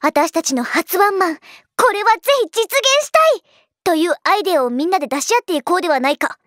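Anime teenage girl, very high voice, swooping intonation, bossy, grandiose, boyish, radio drama. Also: animated, fast, dry recording, clean studio sound. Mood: rousing. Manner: grandiose mock-formal speeches, boyish casual phrasing. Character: bossy, theatrical teenage girl band producer.

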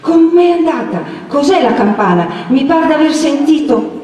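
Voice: sits high, big pitch swings, moderate pace, darkish tone, voice pushed up. A narrator voicing an anxious, scheming village mother with urgent, questioning exclamations. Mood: urgent, alarmed. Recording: echoey hall, distant mic, faint noise.

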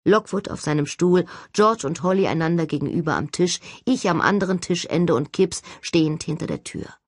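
A woman reading a first-person novel as an expressive, scripted narration. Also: lowish voice, moderate pace, no room sound, studio quality. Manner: expressive, acts out dialogue. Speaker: audiobook narrator.

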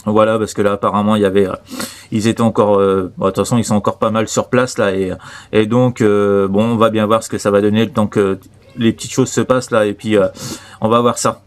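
A man's voice: lowish voice, flat delivery, a little fast. A man from Normandy with a French accent, chatting casually as a radio operator in a hesitant, stumbling way that often repeats itself. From Normandy, France.

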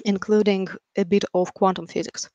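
A woman in her thirties with a Ukrainian accent, telling her story as an interview guest in a measured way with dry, understated humour. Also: medium pitch, steady tone, mellow, no room sound, muffled recording. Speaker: podcast guest, software engineer. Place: room at home, video call. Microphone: headset with boom mic.

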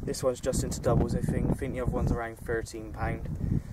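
A young man chatting casually about his catch by a lake outdoors, in an upbeat, relaxed way. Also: mid-range voice, a little fast. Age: young adult. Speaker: angler vlogger. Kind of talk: casual fishing commentary. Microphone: camera on a tripod from a few feet away. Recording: distant outdoor camera audio, loud wind. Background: wind and outdoor ambience.

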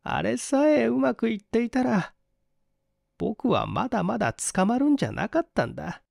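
A man's voice: extremely high pitch, sing-song, normal speed, creaky, darkish tone, dry recording, studio quality, voice pushed up, exaggerated voice. A man voicing a cruel fifteen-year-old boy killer in an audiobook story, boastful, mocking and unrepentant. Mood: gleeful boasting.

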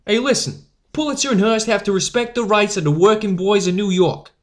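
A man's voice: high-pitched, a little fast, close to an everyday voice. A man playing a defiant, streetwise young newsboy leader with slangy, commanding speech in an audio drama. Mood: rallying.

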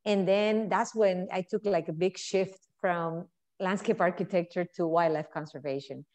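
A woman's voice: lowish voice, steady tone, moderate pace, a little mellow. A woman in her fifties with a Colombian accent, a conservationist guest telling her story earnestly over a video call with earbuds. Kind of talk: casual interview. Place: indoor home room. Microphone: earbud mic on video call.

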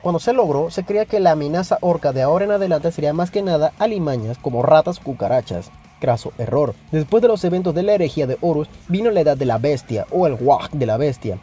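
Man, lore video narrator, scripted narration, higher-pitched voice, talks straight to the viewer. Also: expressive, fast talker, few pauses, mellow, breathy edge, soft background music. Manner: informal explanatory, addresses viewer directly.

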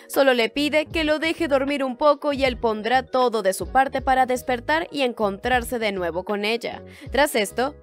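High-pitched woman narrating a drama recap. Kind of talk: scripted narration, storytelling plot recap. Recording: voice-over with music underneath. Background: background music.

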